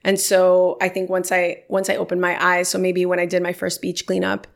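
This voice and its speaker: A woman's voice: lowish voice, completely flat delivery, normal speed, gravelly. A woman in her thirties, telling stories in a casual interview and livening them up when quoting people. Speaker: podcast guest.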